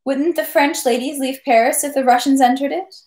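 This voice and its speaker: A woman voicing a reserved young Russian nobleman who answers quietly and briefly. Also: high voice, steady tone, fairly quick, reverberant, voice pushed up, close to an everyday voice.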